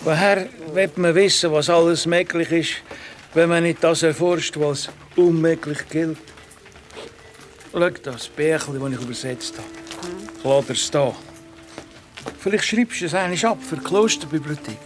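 Male actor for old wandering pilgrim scholar, Swiss German, fairly high voice, patient and musing, children's radio play with music underneath. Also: unhurried, close to an everyday voice. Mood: tender, encouraging. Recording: music behind the voice.

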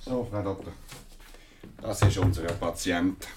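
A man's voice: deep voice, steady tone, measured pace, background noise. A man playing a boastful, stubborn dog trainer in a wartime radio drama, blustering and repeating himself. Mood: gruff, matter-of-fact.

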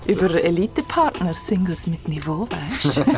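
A woman's voice: lowish voice, sing-song, slow, over music. A woman playing a chipper, evasive young woman in an audio drama, with a Swiss German accent. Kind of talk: naturalistic audio drama dialogue.

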